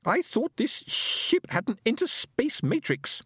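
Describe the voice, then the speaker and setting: A man voicing a haughty, fussy male alien who speaks shrilly and slowly. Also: huge pitch swings, fairly bright tone, breathy edge, no room sound, studio quality, full character voice. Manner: shrill, indignant, drawn out.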